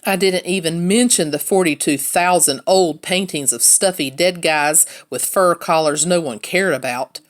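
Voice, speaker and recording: A woman's voice: fairly low pitch, normal speed, hardly any pauses. A woman reading a children's novel aloud as a first-person storyteller. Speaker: read-aloud narrator, teacher.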